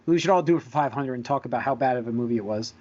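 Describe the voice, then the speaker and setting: A man hosting a podcast in casual chat, halting and hedging, often repeating his words as he goes. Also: mid-range voice, a little mellow, a little hiss or hum. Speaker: podcast host. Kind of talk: casual chat about movies and shows. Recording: dull lo-fi voice recording.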